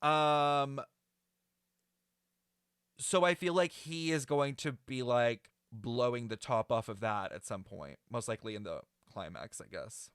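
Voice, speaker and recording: A man giving casual, rambling reaction commentary in a chatty, slangy, excitable way. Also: mid-range voice, measured pace, clean tone, dry recording, clean studio sound. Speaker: reaction streamer.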